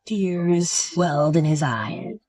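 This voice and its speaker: A woman's voice: lowish voice, lively intonation, takes it slowly, a little mellow, no room sound, recorded from a distance. Woman narrator for a storybook tale, takes her time, dry sound a bit off mic.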